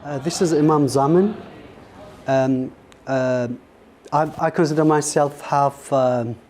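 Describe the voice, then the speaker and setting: A man from Pakistan and Iraq who does not speak English as a first language, answering a host's questions as a competition contestant in an earnest, polite, halting way. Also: fairly high pitch, a little mellow, slight background noise.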